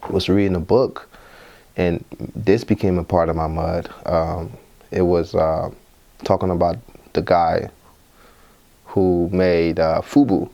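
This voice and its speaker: A man born in Liberia hosts a relaxed podcast conversation, his voice sitting very low. He talks in loose, looping phrases, repeating words and restarting often as he shares his story. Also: slow, gravelly, pauses often, lo-fi sound. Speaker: podcast host. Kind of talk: casual interview chat.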